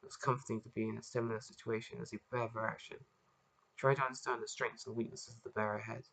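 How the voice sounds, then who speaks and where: A man with a croaky voice, just woken up, reading a chapter of his own story aloud into a laptop webcam and then signing off casually. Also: lowish voice, little pitch movement, fast talker, a bit bright. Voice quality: croaky, gravelly. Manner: casual, rambling sign-off with fillers. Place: at home in front of a laptop.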